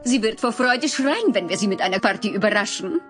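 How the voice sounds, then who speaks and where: Fast-talking vampire mother played by a woman. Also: medium pitch, expressive, soft background music, natural voice. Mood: fond, excited. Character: eager vampire mother planning a party. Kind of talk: children's audio drama acting.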